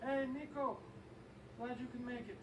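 A man voicing a polite, smooth-talking game character. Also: extremely high pitch, fairly even intonation, normal speed, reverberant, dull audio, background noise. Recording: game audio heard from far off.

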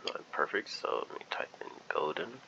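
A man's voice: low voice, lively intonation, normal speed, bright, forceful tone, highs cut off, slight background noise. A young man giving casual, off-the-cuff gameplay commentary as a gaming YouTuber.

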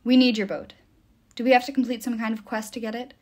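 A woman's voice: a little high, little pitch movement, quick pace, lightly acted. A woman voicing a guarded young man in an audiobook, speaking in a blunt, terse way. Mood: wary, blunt. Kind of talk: audiobook character dialogue.

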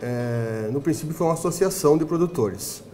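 A man's voice: a little high, animated, a little fast, warm tone, soft background music. A man, president of a cooperative, explaining its history matter-of-factly in an office. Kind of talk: interview explanation. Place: indoor office.

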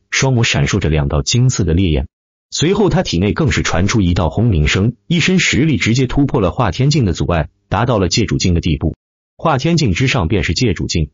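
A man's voice: on the low side, slightly rough, no echo, muffled recording. A man reading a fantasy novel as dramatic scripted narration. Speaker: audiobook narrator.

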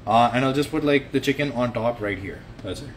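A man's voice: medium pitch, a little fast. A man in his thirties chatting loosely and jokingly while he cooks in his home kitchen, recorded on a nearby phone. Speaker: fitness coach and vlogger. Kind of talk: casual livestream cooking chat. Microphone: phone camera held or propped nearby. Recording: echoey kitchen with clear ambient noise.

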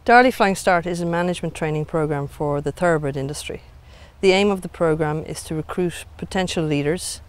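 A woman's voice: low voice, darkish tone. A middle-aged woman, a programme manager, explaining in a composed interview outdoors on a grassy field. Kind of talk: interview answers.

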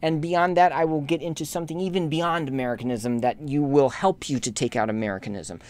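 A man delivering a lecture voice-over over slides, scolding church leaders in a rebuking tone that climbs sharply when indignant, and turning measured when explaining history. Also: fairly high pitch, darkish tone, clear, resonant tone. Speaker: lay commentator addressing bishops.

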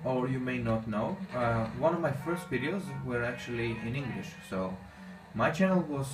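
A man whose first language is Armenian, giving casual commentary on his gameplay in halting English with many fillers. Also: medium pitch, expressive, over music. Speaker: gaming channel host. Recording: echoey room, distant mic, faint noise.

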